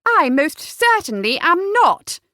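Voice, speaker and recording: Woman playing a high-voiced, defiant young gentlewoman. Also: animated, moderate pace, no echo, clean studio sound, close to an everyday voice. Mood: indignant. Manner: forthright, indignant, emphatic. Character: spirited, defiant young gentlewoman standing up to parents. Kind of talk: historical romance audiobook acting.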